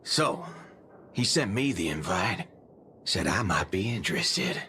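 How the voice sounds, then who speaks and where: A man voicing a cocky, playful cowboy gunslinger in a video game, talking in folksy slang with softened swear words. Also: deep voice, sing-song, unhurried, slight background noise, exaggerated voice. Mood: casual, wry. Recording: game dialogue over music.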